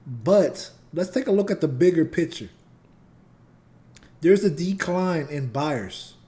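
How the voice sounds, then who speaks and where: A man with a slightly high yet mellow, warm voice talks through market charts as a live host. He keeps it loose and conversational, addressing his chat directly. His voice has a slight scratchiness to it. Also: animated, slow pace. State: voice getting scratchy. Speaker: trading channel host and teacher. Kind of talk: casual live chart analysis.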